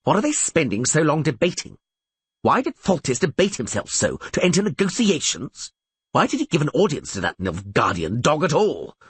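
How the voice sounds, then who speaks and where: Man doing an impatient angry man, hissing, husky, lively intonation, dry storybook recording. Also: a little high, normal speed, no echo, voice pushed up, full character voice. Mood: indignant.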